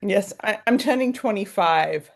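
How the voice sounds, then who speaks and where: A woman's voice: medium pitch, lively intonation, unhurried. A woman co-hosting a podcast, teasing and joking in casual chat. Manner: playful, teasing. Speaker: podcast co-host.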